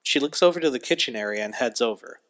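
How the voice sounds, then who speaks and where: A man's voice: medium pitch, lively intonation, quick pace. A man narrating an audio drama from a script, describing the action in a matter-of-fact way.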